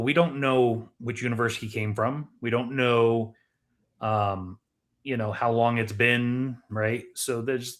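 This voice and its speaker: A man with a medium-pitched voice co-hosts a casual film discussion. He explains things step by step, thinking aloud and pausing as he works through each point. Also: a bit bright. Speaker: podcast co-host.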